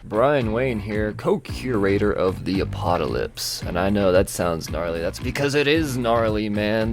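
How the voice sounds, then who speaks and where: A man promoting podcasts in a laid-back conversational ad read, full of surfer-slang hype. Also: lowish voice. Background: guitar music.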